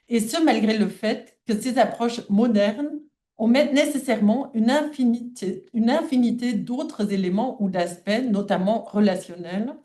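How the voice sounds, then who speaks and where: Mellow non-native French-speaking woman lecturer. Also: medium pitch. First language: not French (non-native speaker). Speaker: academic guest lecturer. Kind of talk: lecture read aloud from a prepared text.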